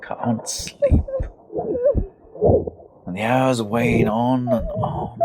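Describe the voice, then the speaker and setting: A man acting a loyal, steady but uneasy house guest in a gothic radio drama. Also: on the low side. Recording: clear background noise and ambience.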